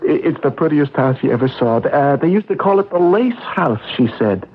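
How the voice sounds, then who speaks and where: A man playing a shy, earnest, lovestruck young man in a radio drama, halting and stammering. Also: a little high, expressive, slightly rough. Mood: excited, lovestruck. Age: young adult. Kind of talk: radio drama acting and narration. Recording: tinny, noisy old radio recording.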